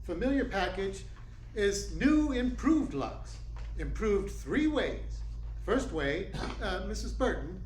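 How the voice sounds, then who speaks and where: Man playing a high-pitched, lively radio announcer. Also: takes it slowly, full character voice. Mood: upbeat selling. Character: eager 1940s radio announcer pitching soap. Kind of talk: 1940s radio advertising. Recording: echoey room with faint noise.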